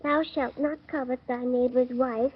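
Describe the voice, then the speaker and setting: A woman voicing a young girl who recites scripture slowly and solemnly in a 1930s radio drama, heard on an old broadcast recording. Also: high-pitched, fairly even intonation, slightly rough, lo-fi sound.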